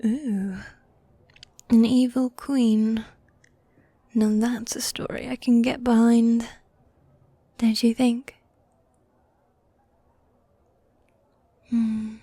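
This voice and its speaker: A woman voicing a needy, dominant goth demon girlfriend in a soft, breathy, coaxing whisper, in a medieval fantasy ASMR roleplay. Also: on the high side, level tone, no echo. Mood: amused, teasing. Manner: affectionate, coaxing, drawn-out genteel phrasing.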